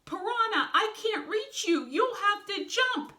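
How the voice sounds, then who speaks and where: A woman voicing an excitable, theatrical male wolf who leads a gang in a children's storybook. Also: on the high side, measured pace, voice pushed up, heavily acted. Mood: urgent, alarmed. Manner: exclaiming, pleading, theatrical. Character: excitable male wolf gang leader, dramatic and easily dismayed.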